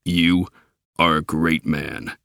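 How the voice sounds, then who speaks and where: A man's voice: deep voice, lively intonation, unhurried, bright, forceful tone, dry recording, studio quality, pitched below the performer's own voice, full character voice. Man doing a grave giant robot leader. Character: huge angry male robot leader, grave.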